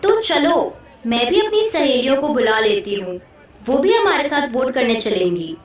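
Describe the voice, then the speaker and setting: Woman playing an outspoken high-voiced wife, echoey. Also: big pitch swings, normal speed. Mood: insistent. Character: dutiful, outspoken wife, firmly arguing back. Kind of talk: puppet show dialogue. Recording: very echoey hall, distant mic.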